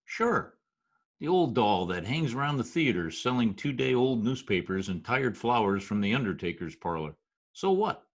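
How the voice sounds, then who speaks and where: An actor playing a wry, streetwise New storyteller, narrating and talking in an old-time radio drama. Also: male voice, medium pitch, normal speed. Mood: sardonic. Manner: wry, deadpan. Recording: slightly rough remote video-call audio.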